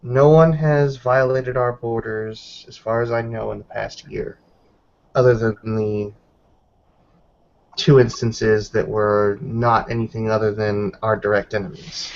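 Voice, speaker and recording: A man playing a suspicious werewolf investigator in an improvised roleplaying game, choosing his words deliberately and often correcting himself. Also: lowish voice, level tone, creaky. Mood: guarded, sceptical. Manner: deliberate, hesitant, self-correcting. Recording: dull lo-fi voice recording.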